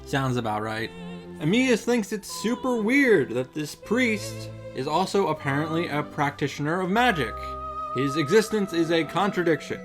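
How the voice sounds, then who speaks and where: A man's voice: on the high side, very animated, moderate pace. A man hosting a visual novel recap, giving casual summary commentary with wry showman asides. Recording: music playing behind the voice.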